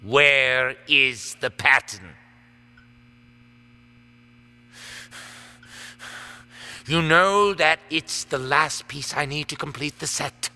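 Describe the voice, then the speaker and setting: An actor playing a sadistic, gloating villain in an audio drama, smug, taunting and menacing. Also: male voice, fairly high pitch, takes it slowly, sharp tone, full character voice. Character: sadistic, gloating male villain holding captives. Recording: music under the voice. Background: dramatic background music.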